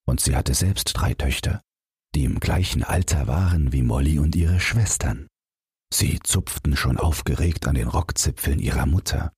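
Very deep, husky male storyteller. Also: a little mellow, dry recording, clean studio sound. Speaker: audiobook narrator. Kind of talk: scripted bedtime fairy-tale narration.

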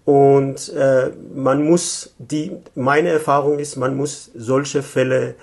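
A man in his fifties from Iran, a native Persian speaker, answering interview questions thoughtfully with frequent pauses and restarts. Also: medium pitch, fairly even intonation, a little mellow. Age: 50s. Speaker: interview guest, economic consultant.

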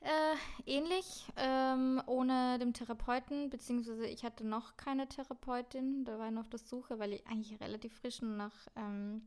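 A woman's voice: fairly high pitch, fairly even intonation, normal speed, hardly any pauses, a little mellow, clean tone. A woman, co-hosting a podcast in a casual chat, talking with many fillers and English words mixed in. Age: 20s. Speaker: podcast co-host. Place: Vienna.

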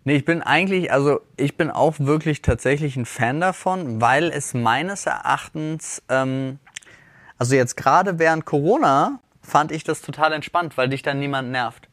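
A man co-hosting a casual podcast chat, telling everyday stories in an animated way that climbs high when he vents. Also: normal speed, no echo, clean studio sound. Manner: animated storyteller, rises sharply when venting. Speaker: podcast co-host.

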